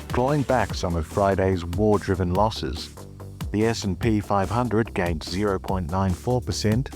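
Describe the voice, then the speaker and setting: A man hosting a financial news podcast, reading a script in a measured, polished newsreader delivery. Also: fairly low pitch, a little mellow, dry recording, clean studio sound.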